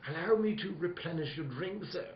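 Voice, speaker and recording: An actor playing a pompous, courtly, greedy crime boss in a noir radio play, florid and grandiose. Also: male voice, on the high side, brisk, natural voice. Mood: gloating. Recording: dull roomy recording with background noise.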